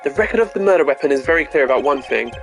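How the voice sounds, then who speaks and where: Performer as a suave young man, smug prosecutor, teasing, lively intonation, courtroom game, music behind. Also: medium pitch, racing, close to an everyday voice. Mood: taunting.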